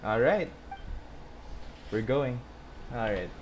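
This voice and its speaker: A woman gaming streamer with a very low voice talks casually as she plays. Her short reactions come slowly but swing up and down, with clear game noise behind. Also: lively intonation. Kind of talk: casual gameplay commentary.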